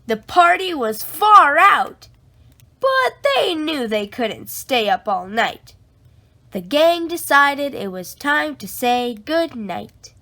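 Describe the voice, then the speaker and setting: A woman reading a children's bedtime story aloud in an expressive, drawn-out way for young listeners. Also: sits high.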